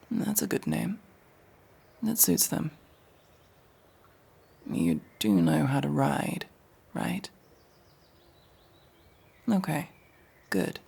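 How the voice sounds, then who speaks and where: A man playing a guarded, dry elf mercenary in an ASMR fantasy roleplay, gruffly protective and matter-of-fact, with a gravelly, sometimes breathy voice. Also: on the high side, mellow, heavily acted. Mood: dry, casual. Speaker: voice actor in audio roleplay.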